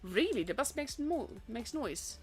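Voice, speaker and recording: Dutch girl casting games on stream, chatty, lots of likes and restarts, soft music in the back. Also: on the high side, big pitch swings, a little slow. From Netherlands. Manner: chatty, rambling, frequent fillers and restarts. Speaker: esports caster and streamer. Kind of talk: casual live-stream commentary. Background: faint stream music.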